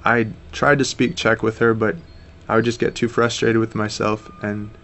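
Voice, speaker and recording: A man's voice: lowish voice, flat delivery, moderate pace, fairly bright tone. An American man in his thirties, a missionary guest, talking earnestly and steadily in a casual interview, with frequent fillers. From United States.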